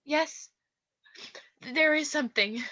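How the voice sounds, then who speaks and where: A woman's voice: high-pitched, takes it slowly, sharp tone, heavily acted. A woman acting in a radio play as a scheming young actress, falsely meek and coolly blackmailing. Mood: coolly menacing. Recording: dull, lo-fi voice track.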